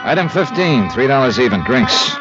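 A man playing a world-weary, dogged private investigator in a 1950s radio drama, with clipped, wry delivery and dramatic pauses. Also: mid-range voice, very animated, lightly acted. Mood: bitter, brooding. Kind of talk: 1950s radio drama acting and hardboiled narration. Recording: dull 1950s radio recording. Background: music.

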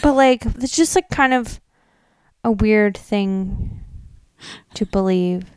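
Female podcast co-host, American, smooth and clear, informal slangy storytelling, dry recording. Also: mid-range voice, slow pace, no echo. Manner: informal, peppers talk with "like" and emphatic slang. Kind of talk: casual chatty retelling and commentary.

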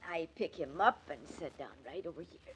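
An actress playing a warm, stubborn immigrant nursemaid, speaking in simple clipped phrases in a 1940s radio drama. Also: female voice, deep voice, expressive, normal speed, a little hiss or hum, poor audio. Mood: tender. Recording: old 1940s radio recording.

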